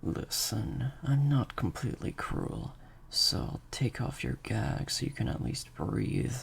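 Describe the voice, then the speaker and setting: A man playing a sadistic vampire master in an improvised audio roleplay, menacing and teasing, in breathy half-whispers to a captive listener. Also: mid-range voice, sing-song, slow, a little hiss or hum, heavily acted. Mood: amused, condescending.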